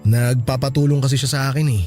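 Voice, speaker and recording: A man's voice: mid-range voice, fairly even intonation, quick pace, lightly acted. A man playing a meek, apologetic young husband in a radio drama, hesitant and pleading. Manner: hesitant, pleading, soft-hearted. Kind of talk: radio drama acting in dialogue. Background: background music.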